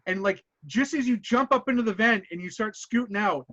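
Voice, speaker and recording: A man's voice: high-pitched, brisk, sharp tone, dry recording, highs cut off. A man running a tabletop roleplaying game as game master, narrating animatedly and laughing often.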